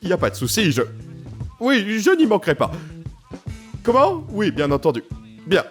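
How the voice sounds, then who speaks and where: A man's voice: high-pitched, wildly swooping pitch, moderate pace, soft background music. A man playing a haughty, cheeky manservant, fussy and mock-deferential, in a comic French audio drama. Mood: chatty. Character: haughty, cheeky, put-upon male manservant.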